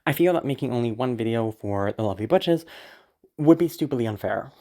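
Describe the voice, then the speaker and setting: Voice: medium pitch, expressive, brisk, warm tone. Anxious, sick-sounding rambler with a sore throat. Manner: anxious, apologetic, hesitant; swears casually. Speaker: audio content creator. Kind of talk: casual rambling update to listeners.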